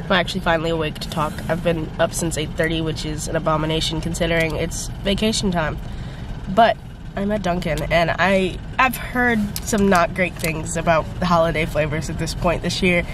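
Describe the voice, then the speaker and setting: A woman's voice: low-pitched, steady tone, fairly quick, noisy background. A woman in her twenties from North Carolina, vlogging casually to a handheld camera and rambling with lots of ums and likes. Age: 20s. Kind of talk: casual vlog chat to camera. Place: parked car. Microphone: handheld camera or phone at arm's length.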